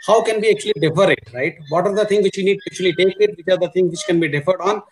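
A man's voice: high-pitched, rapid-fire, slight background noise. A middle-aged man with an Indian accent explaining business matters as a panelist on a video call, leaning on repeated filler words. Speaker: panelist, supply chain executive. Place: indoor room on a video call.

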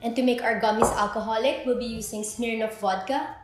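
A woman's voice: fairly high pitch, steady tone, brisk. Echoey young woman presenting a recipe. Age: 20s. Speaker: host of a drink recipe video. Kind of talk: scripted step-by-step tutorial presenting. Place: indoor kitchen with bare walls. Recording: echoey room with background music. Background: music.

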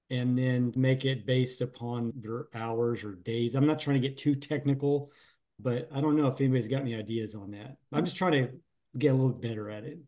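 A man's voice: medium pitch, mellow. Rambling middle-aged man on a video call. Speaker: painting business owner. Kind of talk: casual chat on a group coaching call. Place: small room at home.

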